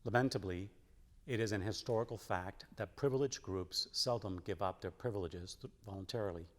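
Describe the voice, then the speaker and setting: A man reading a historic letter and quotations aloud as a scripted recitation. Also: lowish voice. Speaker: church member reading quotes aloud. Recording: echoing hall with long reverb.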